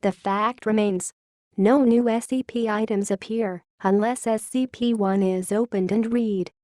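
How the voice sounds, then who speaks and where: A woman reading scripted narration of a fictional classified report in a formal, clinical, measured manner. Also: medium pitch, fairly even intonation, darkish tone, no room sound, studio quality.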